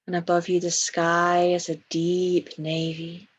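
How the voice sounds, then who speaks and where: A woman leading a guided meditation in a soft, breathy voice, soothing and hushed, with long pauses between phrases. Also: on the low side, completely flat delivery.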